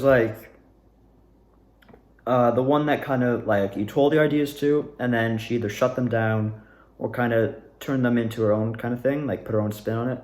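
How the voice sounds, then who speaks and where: A man's voice: medium pitch, roomy sound. A young man talking casually about his own experiences in a rambling, self-correcting monologue. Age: young adult. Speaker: drama student.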